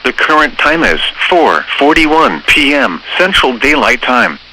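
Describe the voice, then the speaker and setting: A synthetic male voice reading scripted emergency weather bulletins in a formal, measured, impersonal way over weather radio. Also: medium pitch, sing-song, pushed and bright. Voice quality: synthetic text-to-speech voice. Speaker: automated weather radio announcer. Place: automated broadcast received over radio. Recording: noisy weather radio broadcast.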